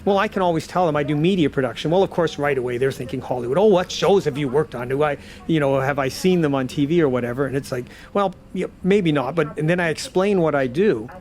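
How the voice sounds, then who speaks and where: Slightly high-voiced man telling anecdotes. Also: fast talker, hardly any pauses, a little mellow. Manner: acts out dialogue as he tells stories. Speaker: audio drama director, interviewee. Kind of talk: casual anecdotal interview talk.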